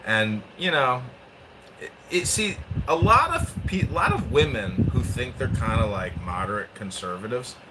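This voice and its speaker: A man hosting a podcast, crude and combative, swinging between low mutters and loud bursts. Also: big pitch swings, moderate pace, heavy background noise. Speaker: podcast host. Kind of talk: provocative casual chat.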